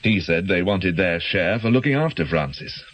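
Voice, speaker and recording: A guilt-ridden doctor in a radio play, with a low, husky voice. He is educated but halting and defensive, and his nervous delivery rises and falls in lively swings. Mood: uneasy, confessional. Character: anxious, guilt-ridden male doctor entangled with criminals. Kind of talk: naturalistic radio drama acting.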